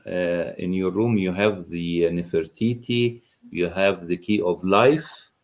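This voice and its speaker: Guy hosting a video call interview, low voice, lots of uh pauses. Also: steady tone. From Egypt. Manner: hesitant, many uh fillers. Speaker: interviewer, host.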